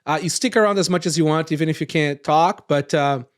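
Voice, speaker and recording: A man from Brazil hosting a casual roundtable podcast, rambling and often tagging his points with quick questions. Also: on the high side. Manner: rambling, frequent tag questions. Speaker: podcast host.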